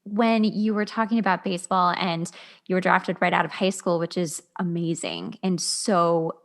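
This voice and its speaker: A woman's voice: on the low side, moderate pace. A woman hosting a casual interview, responding to her guest in an attentive, affirming way. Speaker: podcast host.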